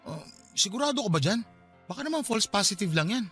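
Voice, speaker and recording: Panicked young man, quick delivery, evasive, defensive, radio drama, music bed. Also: sits high, sing-song, sharp tone, slightly rough, full character voice. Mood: panicked, disbelieving. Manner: defensive, agitated. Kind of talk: Tagalog radio drama acting.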